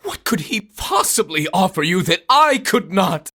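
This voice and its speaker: Vain game villain, grandiose, pompous, sharp bright voice, sweeping pitch, drawn-out delivery. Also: female voice, sing-song, heavily acted. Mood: condescending, seductive. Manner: pompous, theatrical. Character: vain, grandiose, spurned villain who craves devotion. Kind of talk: dramatic video game voice acting.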